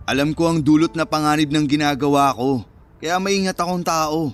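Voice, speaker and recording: A cocky young ex-boyfriend who guards his pride, acted by a man. His voice is fairly high for a male, in radio drama style with music underneath. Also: steady tone, normal speed, lightly acted. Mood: defensive, dismissive. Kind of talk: Filipino radio drama acting. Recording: music bed under the dialogue.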